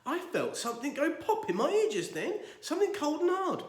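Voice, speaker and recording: A man's voice: very high-pitched, huge pitch swings, brisk, voice pushed up, full character voice. A man voicing a kindly, solemn older male shopkeeper friend. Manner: gentle and solemn. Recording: echoey room.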